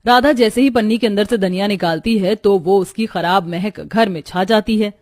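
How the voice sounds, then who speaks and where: A woman's voice: medium pitch, moderate pace, darkish tone. A woman storyteller reading scripted narration for a moral tale.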